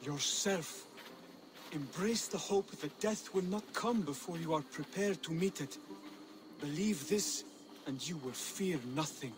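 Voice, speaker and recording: A man plays a young male assassin mentor in a video game cutscene. His voice sits high for a man, earnest and measured, with formal, instructive phrasing. Clear outdoor noise sits behind the words. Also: natural voice. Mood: solemn, encouraging.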